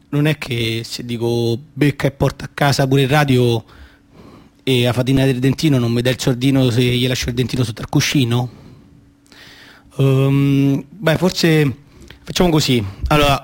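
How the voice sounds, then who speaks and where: A man's voice: medium pitch, steady tone, moderate pace, a little mellow, natural voice. An actor playing a boastful, naive little boy who loves football and sticker cards, in a radio play, with a Roman accent and hesitant, rambling childish talk. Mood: boastful, explaining. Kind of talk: radio theatre monologue in childish colloquial speech.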